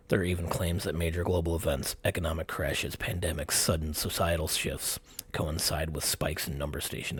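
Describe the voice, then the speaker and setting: A man with a husky, gravelly voice narrates a scripted mystery documentary in short, suspenseful, dramatic phrases. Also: a bass voice. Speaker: channel host narrator.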